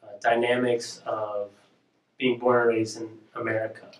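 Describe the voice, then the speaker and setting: A man hosting a campus question-and-answer evening, halting and filling his questions with "uh" and "um". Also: medium pitch, flat delivery, reverberant, distant mic, low-quality recording. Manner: halting, many "uh" and "um". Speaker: event host and interviewer.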